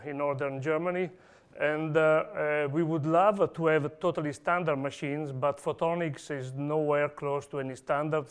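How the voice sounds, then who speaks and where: A man's voice: on the high side, clear, resonant tone. Hesitant Italian-accented male conference speaker. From Italy. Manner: hesitant, many "uh" fillers and restarts. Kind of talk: technical conference talk. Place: conference hall.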